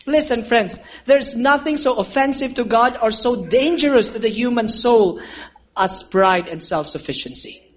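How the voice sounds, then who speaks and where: A man's voice: extremely high pitch, animated, moderate pace. A man preaching a sermon, telling a story and calling his listeners friends. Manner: earnest, addresses listeners as friends, asks for amens.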